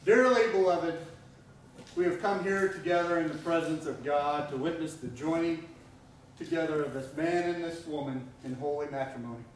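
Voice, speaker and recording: Solemn middle-aged minister in echoey church. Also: male voice, fairly high pitch, recorded from a distance, slight background noise. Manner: measured, solemn liturgical delivery. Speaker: officiating minister. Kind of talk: wedding ceremony officiating. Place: church sanctuary. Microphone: far camera.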